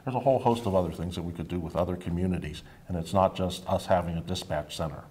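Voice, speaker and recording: An American man with a deep voice answers at a candidates' forum. His manner is measured and fairly formal, and there is faint noise in the room. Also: a little mellow. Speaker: city council candidate.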